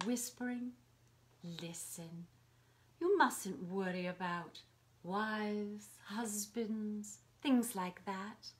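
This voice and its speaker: A woman acting in a stage play as a possessive mistress, coaxing and pleading with her lover. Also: a little high.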